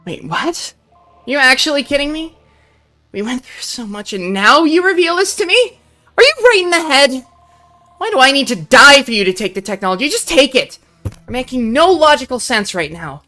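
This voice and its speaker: A woman's voice: high voice, big pitch swings, normal speed, sharp tone, faint music behind, full character voice. A woman voicing a defiant young heroine with an indignant, determined manner, reading a visual novel aloud. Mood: shocked. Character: betrayed young woman hero, defiant and resolute.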